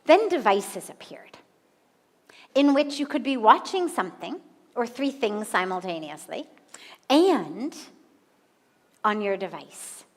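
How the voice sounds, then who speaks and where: An American woman in her fifties, a spiritual teacher giving a slow, deliberate satsang talk with emphatic repetition. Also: on the high side, big pitch swings, in a large hall. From United States. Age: 50s.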